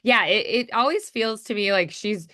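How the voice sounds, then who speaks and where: A woman's voice: mid-range voice, animated, moderate pace, pushed and bright. A woman coaching voice acting in a casual, encouraging, conversational way. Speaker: voice acting teacher.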